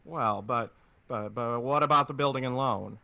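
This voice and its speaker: Guy doing earnest folksy small town man, old radio play, stammery, bright voice, very dry close sound. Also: medium pitch, moderate pace, gravelly, dry recording. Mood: astonished. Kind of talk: 1940s radio drama acting.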